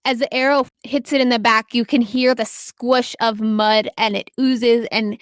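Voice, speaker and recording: A woman's voice: on the high side, steady tone, measured pace, dry recording, clean studio sound. A woman acting as game master, narrating a tabletop role-playing game in a casual, improvised way and encouraging the players. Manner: encouraging, affirming players with short praise.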